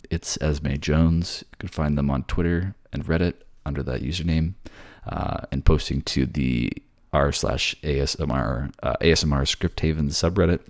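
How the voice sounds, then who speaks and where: A man's voice: rumbling low, a little mellow. A man working as a voice actor and narrator, in an ASMR storytelling style. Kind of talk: soft narration and casual sign-off.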